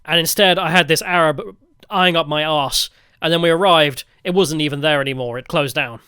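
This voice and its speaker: A man telling travel stories as a vlogger in a casual, wry, deadpan way. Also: fairly high pitch, fairly quick, clear, resonant tone, dry recording, studio quality. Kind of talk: casual storytelling narration.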